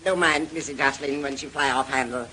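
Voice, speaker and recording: A man's voice: mid-range voice, steady tone, quick pace, bright, forceful tone, close to an everyday voice. Guy doing a deferential ship steward, fake japanese accent, pidgin english, old radio mystery, bit of hiss. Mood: cheerful, eager. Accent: Japanese, put on. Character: eager, servile Japanese ship's steward, male. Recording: noisy old radio drama recording.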